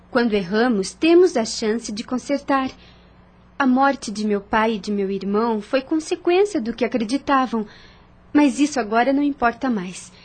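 An actress in a radio drama playing a gentle, earnest young heiress with polite, formal, expressive speech. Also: female voice, on the high side.

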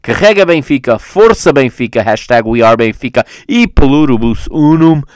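A man's voice: medium pitch, lively intonation, normal speed, no room sound, studio quality. A man hosting a solo football podcast, rambling through a casual recap, often correcting himself as he goes, with a fan's enthusiasm. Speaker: podcast host. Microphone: studio microphone.

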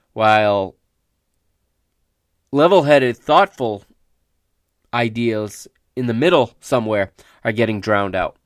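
A male podcast host gives unscripted sports commentary in a mid-pitched voice that is a little raspy from a recent illness. He talks emphatically but haltingly, often pausing to check in with the listener. The sound is dry, with no room echo. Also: fairly bright tone. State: slightly raspy voice recovering from illness.

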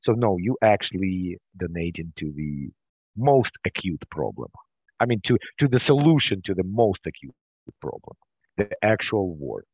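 A Ukrainian man speaking accented English as an interview guest, his lowish voice halting through fillers and restarts. The sound is dry and dull, with no room around it. He talks in a plain, conversational way. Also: sing-song, slightly rough, dry recording.

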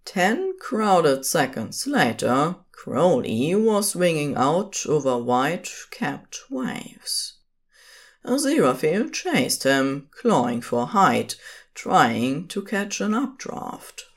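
A woman's voice: sits low, sing-song, slow, husky. A woman reading scripted fiction as the narrator of an audio drama.

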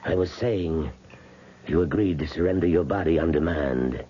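An actor playing an elderly, weakened millionaire in a radio drama, speaking formally and with measured, cold insistence. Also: male voice, very deep voice, slight background noise. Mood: coldly insistent. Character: weak, elderly millionaire, polite but coldly demanding. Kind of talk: 1970s radio drama acting. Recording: dull 1970s radio broadcast recording.

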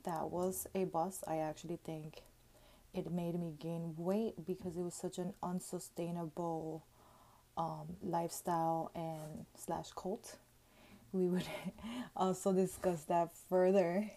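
Host, woman, 30s, mellow lowish voice, chatty gossip delivery with fillers and cussing. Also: flat delivery, slow pace, a little hiss or hum. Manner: chatty, full of "like" fillers and casual swearing. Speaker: podcast host. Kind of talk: casual gossip podcast monologue.

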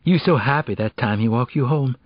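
A man's voice: medium pitch, a little fast, no echo, muffled recording, pitched above the performer's own voice, lightly acted. A man voicing an evasive, lovestruck teenage girl in an audiobook, slangy, reluctant and defensive as she confesses. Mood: guilty, pleading.

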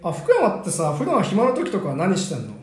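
A man's voice: sits high, wildly swooping pitch, a little fast, a little mellow. A man playing a young part-time worker making friendly, curious small talk in an amateur radio skit. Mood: curious, polite. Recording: roomy, echoey room sound.

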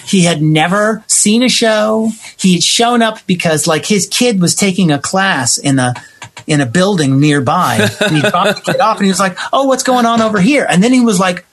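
A man with a husky voice talking conversationally as a theater maker being interviewed, emphatic and given to acting out what people said. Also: sits high, moderate pace, slight background noise. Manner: emphatic, acts out quoted reactions. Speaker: theater playwright and director, interview guest. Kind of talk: animated conversational storytelling.

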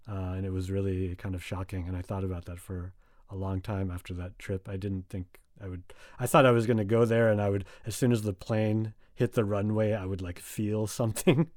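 Young guy telling a story, low voice, lots of um and like, dry close mic. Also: steady tone, brisk, a little mellow, dry recording. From United States. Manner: rambling, many fillers and restarts. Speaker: guest. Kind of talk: casual conversation.

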